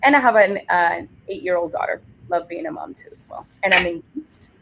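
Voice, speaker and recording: A woman's voice: mid-range voice, lively intonation, fairly quick, gravelly, very noisy. A woman from Venezuela speaking as a guest on a panel show, explaining her work at length in an earnest way. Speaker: panel guest, pageant producer. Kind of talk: casual panel conversation.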